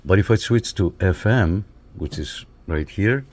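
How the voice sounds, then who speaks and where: Deep, mellow-voiced man giving a demo. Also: animated, a little slow. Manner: instructional, talks viewers through each step. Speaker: radio reviewer giving a demonstration. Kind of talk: casual hands-on product walkthrough.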